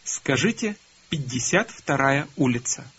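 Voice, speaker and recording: A man narrating a recorded language course, calmly prompting learners to repeat and answer. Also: mid-range voice, a little slow, slight background noise. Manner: calm, prompting, instructive. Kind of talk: scripted instruction prompting learners. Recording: dull lo-fi course audio.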